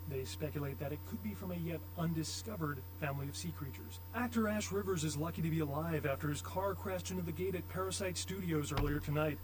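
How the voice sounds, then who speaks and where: A man playing a television news anchor in a video game, reading headlines in a formal, measured way. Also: fairly high pitch, background noise, natural voice. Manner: formal, measured newsreader delivery. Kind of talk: scripted TV news report.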